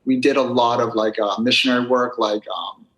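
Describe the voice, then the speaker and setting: A young American man as a podcast guest, talking casually and rambling with lots of fillers in quick bursts. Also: mid-range voice, steady tone, fairly bright tone, poor audio. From United States (New Hampshire, Maine). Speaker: guest vlogger. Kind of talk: casual interview chat.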